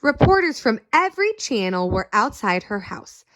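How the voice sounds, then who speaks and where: A woman reading a children's picture book aloud, pausing to ask her young listeners questions. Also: a little high. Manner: slow and expressive, asks listeners questions. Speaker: storyteller.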